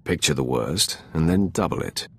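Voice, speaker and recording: An actor playing a commanding, imperious Sith lord in a video game, with a gravelly voice and formal, menacing delivery. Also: male voice, rumbling low, animated, heavily acted. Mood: stern, menacing. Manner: imperious, formal, measured wording. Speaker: military commander, player character. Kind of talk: video game dialogue acting. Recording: music under the voice.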